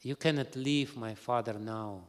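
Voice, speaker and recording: A man voicing a gentle, dutiful young prince who calmly reassures his family before leaving for exile. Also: medium pitch, measured pace, close to an everyday voice. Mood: earnest. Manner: calm, earnest, reassuring. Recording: reverberant hall.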